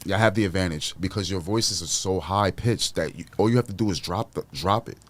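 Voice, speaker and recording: A man with a deep baritone voice, hosting a casual podcast chat in a boastful, repetitive way and swearing freely into a studio mic. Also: a little fast. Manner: boastful, repeats himself, swears. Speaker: podcast host.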